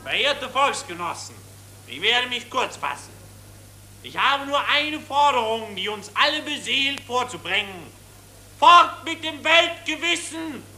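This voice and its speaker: A man's voice: extremely high pitch, animated, pushed and bright, a little hiss or hum, exaggerated voice. A man acting a bombastic nationalist demagogue, declaiming at a rally in a 1940s radio play. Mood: solemn, commanding. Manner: slow, ringing, bombastic declamation. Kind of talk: 1940s radio drama political speech. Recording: roomy 1940s radio recording, faint crowd.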